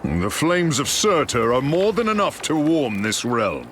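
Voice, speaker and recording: A man's voice: medium pitch, big pitch swings, a little slow, a bit bright, slight background noise. A man playing a proud, noble warrior god of thunder in a video game, with stately, archaic, declamatory delivery. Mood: proud, resolute. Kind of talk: theatrical video game voice acting.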